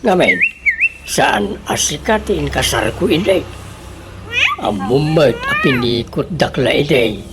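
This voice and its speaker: Slow, swooping father acted by a man. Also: medium pitch, animated. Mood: sheepish, pleading. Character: animated, hesitant father in a domestic comedy. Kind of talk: comedic radio drama acting. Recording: very noisy radio drama track.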